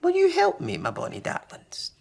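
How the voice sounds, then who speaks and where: Storybook granny: elderly Scot, high-pitched and very animated, affectionate, bustling, kindly. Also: male voice, moderate pace, gravelly, heavily acted. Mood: chirpy, affectionate. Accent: Scottish. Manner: sing-song, affectionate. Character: kindly, chirpy old Scottish granny, cheerfully bustling.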